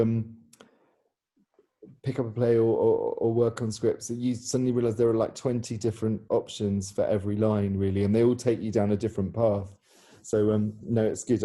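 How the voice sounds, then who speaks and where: A man's voice: fairly low pitch, warm tone. A man interviewing informally on a video call in an encouraging, slightly rambling and hesitant way. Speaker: interviewer, theatre practitioner.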